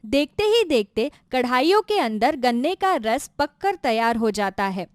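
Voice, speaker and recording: A woman's voice: sits high, sing-song, a little slow, no room sound, studio quality. A woman narrating a scripted children's moral story as a storyteller.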